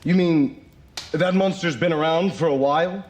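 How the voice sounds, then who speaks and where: An actor playing a shady, wisecracking adventurer in a game cutscene, chatty and sarcastic. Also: male voice, a little high, normal speed, a little hiss or hum, natural voice. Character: wisecracking shady male adventurer, middle-aged. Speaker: game character.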